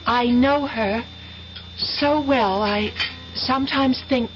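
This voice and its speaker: Haunted young female student, high voice, earnest, hesitant pauses, vintage radio drama, muffled recording. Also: music in the background. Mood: anxious, obsessed. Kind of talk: 1970s radio drama acting.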